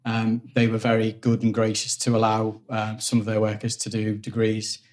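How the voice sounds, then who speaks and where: A man in his forties from Liverpool with a Liverpool accent, a guest telling stories in a relaxed interview and often repeating words while he thinks. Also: fairly low pitch, moderate pace. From Liverpool, England. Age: 40s. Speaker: guest, engineering executive. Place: office at company headquarters.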